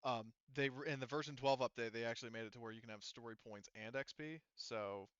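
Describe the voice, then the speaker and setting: A man's voice: medium pitch, fast talker, fairly bright tone, clear, resonant tone, no echo, highs cut off. A man running a tabletop roleplaying game as game master, explaining things casually.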